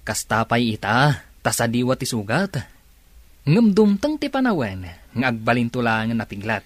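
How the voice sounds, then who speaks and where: A medium-pitched male voice playing a brotherly workmate in a radio drama. The delivery is very melodic and philosophical, in a dry, close sound. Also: very animated, normal speed, dry recording. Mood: consoling. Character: supportive male buddy, advice-giving coworker. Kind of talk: Ilocano radio drama acting.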